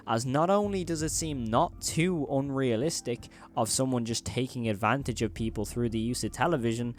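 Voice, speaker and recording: Man giving emphatic horror commentary. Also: mid-range voice. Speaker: video host.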